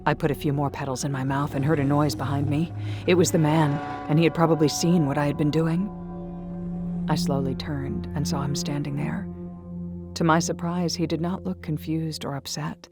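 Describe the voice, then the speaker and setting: Low, creaky woman narrating intimate dark fiction. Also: steady tone, normal speed, over music. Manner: intimate, intense. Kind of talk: dramatic first-person story narration.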